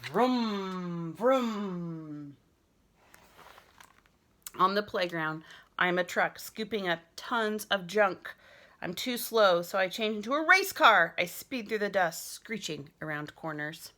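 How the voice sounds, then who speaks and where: A woman's voice: medium pitch, lively intonation, takes it slowly, pushed and bright. A woman voicing an imaginative, boisterous schoolboy in a children's storybook, dramatic and full of sound effects. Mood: excited, playful. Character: imaginative, boisterous schoolboy who loves noises. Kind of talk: children's storybook narration in character.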